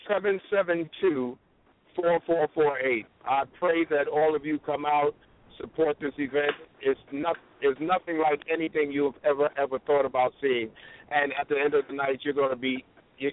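A man's voice: a little high, fairly even intonation, normal speed. A man speaking as a comedian guest in a casual radio interview, slangy and hyping, repeating phrases for emphasis. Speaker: comedian guest promoting live shows. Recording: tinny phone line, dry.

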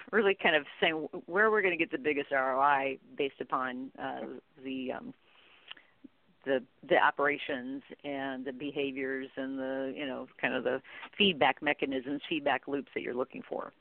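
A woman's voice: a bass voice, steady tone, normal speed, dry recording. Middle-aged woman, expert interview guest. Conversational storyteller who acts out quotes, heard over a narrow, dry phone connection. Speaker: guest expert, training company chief executive.

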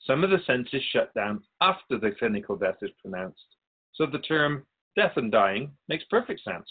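A man playing a patient, all-knowing keeper of souls in a radio drama, explaining things in a measured, gently wry way. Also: medium pitch, sing-song, husky, narrow, tinny audio. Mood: wise, gently wry. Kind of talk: scripted radio drama dialogue.

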